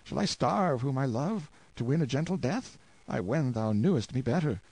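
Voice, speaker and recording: A man's voice: mid-range voice, lively intonation, normal speed, darkish tone, dry recording, pitched above the performer's own voice, rough recording. A man voicing a tortured peasant husband who speaks in firm, solemn old-fashioned words. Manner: firm, solemn archaic speech. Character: tortured stoic peasant husband, proud and steadfast.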